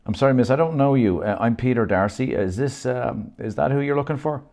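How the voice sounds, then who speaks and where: A man's voice: mid-range voice, brisk, a little mellow, pitched above the performer's own voice, close to an everyday voice. A man doing a puzzled polite shop worker. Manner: polite, hesitant.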